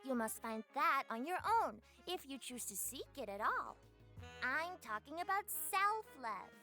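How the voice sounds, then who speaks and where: A woman's voice: sits high, expressive, measured pace, bright, forceful tone, faint music behind, voice pushed up, exaggerated voice. A woman voicing a tiny, prim, preachy pixie guide from a visual novel, pompous and theatrical. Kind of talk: visual novel character voice acting.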